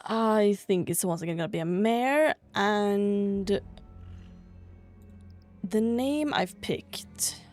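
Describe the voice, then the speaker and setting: A woman's voice: medium pitch, takes it slowly, pauses often, a little mellow, soft background music. A woman from Sweden chatting casually over gameplay in a gushing, rambling way, sounding a bit tired. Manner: gushing over cute things. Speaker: gaming vlogger.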